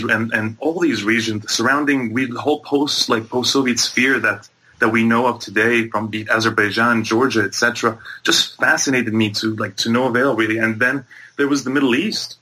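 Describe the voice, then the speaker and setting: A man's voice: medium pitch, steady tone, moderate pace, sharp tone, slightly rough, low-quality recording. A man from Egypt and Bahrain speaking as an interview guest, giving earnest, long explanatory answers in a conversational way and often repeating phrases. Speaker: guest, sports journalist and MMA commentator.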